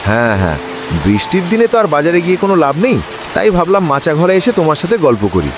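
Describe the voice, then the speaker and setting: A man's voice: on the high side, wildly swooping pitch, quick pace, music in the background. A man voicing a lazy, whiny, grumbling village husband in a cartoon. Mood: chummy. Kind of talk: cartoon voice acting.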